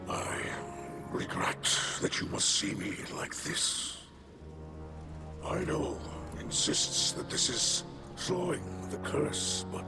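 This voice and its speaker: Cursed alien patriarch, video game. Whispery rasp, slow, grave, halting, expressive pitch, music underneath. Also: male voice. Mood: weary, regretful. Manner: grave, weary, formal, halting. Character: ailing, cursed alien house leader, solemn grieving devoted father. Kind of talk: dramatic video game dialogue.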